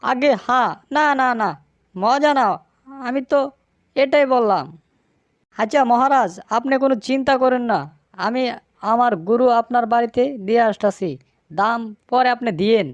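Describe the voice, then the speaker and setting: A high-pitched, dry-sounding male fox trader from a cartoon, voiced by a woman. He is a sly village husband, fawning to his betters and slippery with his answers. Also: normal speed, pauses often, no room sound, highs cut off, natural voice. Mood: placating. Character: male fox cattle seller, wily, obsequious village husband. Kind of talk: cartoon dialogue.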